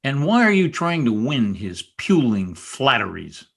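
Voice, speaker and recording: Man acting husky young stable hand, bitter and lovesick, intense, wide swings of pitch, radio play. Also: big pitch swings, a little slow, fairly bright tone, heavily acted. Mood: jealous, demanding. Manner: intense, scornful and pleading. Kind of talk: old-time radio drama acting. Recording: amateur radio play recorded over video call.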